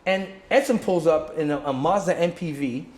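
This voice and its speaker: A man's voice: fairly high pitch, normal speed, a little mellow. A middle-aged man from Jamaica, a martial arts teacher telling his story in an interview, acting out what others said in shifting voices, with many restarts. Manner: acts out other people's lines in changing voices, many restarts. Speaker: martial arts instructor and guest. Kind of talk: animated storytelling in conversation. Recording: echoey room.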